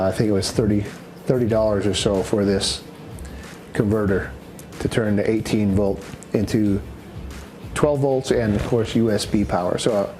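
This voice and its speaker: Low-voiced man explaining gear, halting delivery. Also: a little mellow, a little hiss or hum. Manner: halting, matter-of-fact explaining. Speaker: presenter demonstrating radio gear. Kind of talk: unscripted product demonstration. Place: indoors in a house.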